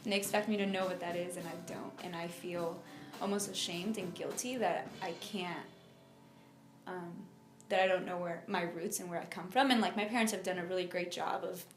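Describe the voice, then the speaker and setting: A young adult woman from Miami telling her personal story in an interview, speaking informally and haltingly with lots of filler phrases. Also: fairly low pitch, steady tone, reverberant, over music. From United States (Miami, Florida). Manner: informal, halting, frequent "like" and "you know".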